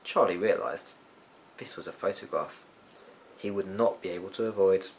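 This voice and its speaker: A man reading a children's story aloud as an expressive narrator. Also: sits low, normal speed, slight background noise, poor audio.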